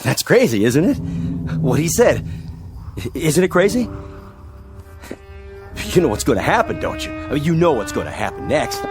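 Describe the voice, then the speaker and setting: A man's voice: fairly high pitch, wildly swooping pitch, normal speed, pauses often. An actor playing a nervous townsman who rambles and stammers while insisting the darkness will lift, over background music.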